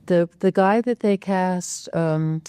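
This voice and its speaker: An older woman speaks in a low register, with a close and dry sound. She rambles easily through her stories. She leans on frequent fillers and self-corrections. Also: takes it slowly, dark tone, smooth, dry recording. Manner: rambling, many "you know" fillers, restarts. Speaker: actress, guest. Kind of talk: casual interview chat.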